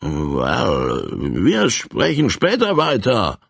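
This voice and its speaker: A loud, swaggering colonel bully pitched well above a normal speaking voice, as a male narrator puts him on, blustering and boastful. He swings his pitch wildly and barks his lines with a slightly husky edge, like a hot-headed villain in a spoken storybook. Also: huge pitch swings, drawn-out words, no room sound, clean studio sound, full character voice. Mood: startled. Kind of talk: audiobook character voice.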